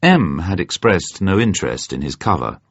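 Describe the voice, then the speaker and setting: Male narrator for spy fiction: low, husky voice, measured scripted storytelling, dull-sounding recording. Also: big pitch swings, no echo. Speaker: audiobook narrator.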